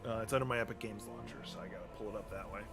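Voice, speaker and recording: A man streaming a game, talking casually through menus with lots of ums and restarts, his voice going hoarse. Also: lowish voice, very fast, loud music behind the voice. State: losing his voice. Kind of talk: casual live-stream commentary.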